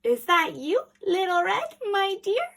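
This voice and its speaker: A woman voicing a feisty old granny from a storybook, loud and exclaiming. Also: very high-pitched, very animated, unhurried, vocal fry, pitched above the performer's own voice, full character voice. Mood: cheery.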